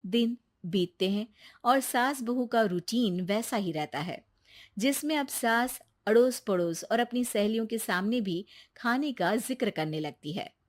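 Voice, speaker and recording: A woman's voice: on the high side, lively intonation, a little slow. A woman narrating a scripted family story as its storyteller.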